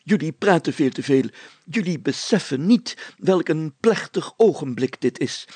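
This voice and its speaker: Man playing a pompous, high-pitched village mayor. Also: expressive, unhurried, darkish tone, slightly rough, dry recording. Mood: solemn. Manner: pompous, declamatory, formal wording. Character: pompous, solemn, easily irritated male village mayor. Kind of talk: children's story character acting.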